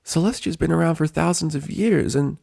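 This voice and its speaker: Man doing a high-pitched worried baby dragon boy. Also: fairly quick, gravelly, no echo, clean studio sound. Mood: worried, gentle. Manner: gentle, hesitant, worried. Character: young male baby dragon assistant, concerned and timid.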